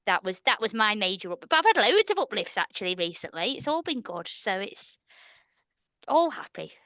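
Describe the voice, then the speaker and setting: A woman's voice: mid-range voice, big pitch swings, moderate pace, sharp tone, no room sound. A woman co-hosting a casual workplace podcast. She speaks in a chatty way and laughs easily. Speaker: podcast co-host.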